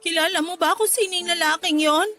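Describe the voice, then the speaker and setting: An actress playing a remorseful yet playful young daughter in a Filipino radio drama, with a Filipino accent. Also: female voice, very high-pitched, fairly even intonation, fairly quick, pushed and bright. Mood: remorseful, earnest. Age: young adult.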